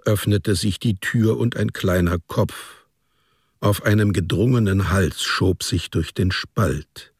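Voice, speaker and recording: A man's voice: low-pitched, gravelly, slightly rough, dry recording, studio quality. A man reading literary narration as the storyteller of a radio drama.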